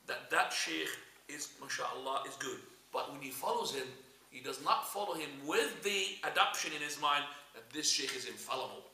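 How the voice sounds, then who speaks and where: A man's voice: on the high side, sharp tone, distant mic. A man with as his teaching an Islamic class in a mosque, speaking emphatic, repetitive, English. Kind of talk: classroom lecture. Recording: echoey room, voice from afar.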